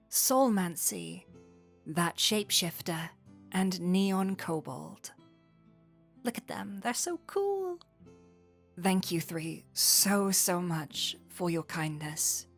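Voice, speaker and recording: A woman who makes audio roleplays, speaking in a sincere thank-you message to supporters. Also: mid-range voice.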